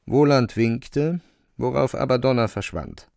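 A man with a gravelly voice reading scripted literary narration as the narrator of a drama. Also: medium pitch, expressive, unhurried, a little mellow, no echo, clean studio sound.

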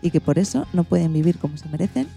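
A woman with a Spanish accent from Spain hosts an informal radio programme. Her voice sits low and is very dark and soft-edged. She explains things to families, with faint music underneath. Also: animated, fast, gravelly. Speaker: radio show host.

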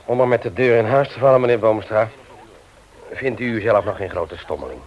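A man's voice: lowish voice, fairly quick, lightly acted. An actor playing a stern, moralizing man who lectures emphatically in a radio play. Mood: stern, indignant. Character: stern, moralizing man confronting a petty thief. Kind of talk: Dutch radio play acting. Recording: muffled vintage radio recording.

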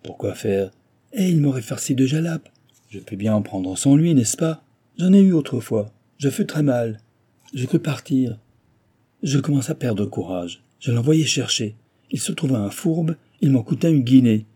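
A man reading an audiobook and voicing a stingy, gruff old male miser who blusters and scolds. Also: mid-range voice, animated, moderate pace, pitched above the performer's own voice. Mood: grumbling. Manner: blustering, shouting, scornful. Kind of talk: audiobook dialogue.

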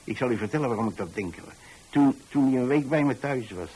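A man's voice: medium pitch, expressive, fairly quick, mellow, husky, low-quality recording. An actor playing an older retired landlord, tolerant and folksy, who tells his story hesitantly and repeats himself in a radio monologue. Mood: chatty, tolerant.

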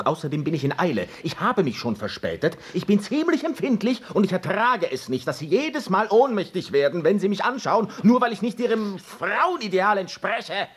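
Ein Schauspieler spielt in einem deutschen Hörspiel einen ungeduldigen, empfindlichen Fremden, der trocken, knapp und leicht entrüstet spricht. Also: male voice, a little high, slightly rough. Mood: indignant. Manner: dry, curt, indignant. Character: impatient, touchy male stranger, dryly listening. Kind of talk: German radio drama acting.

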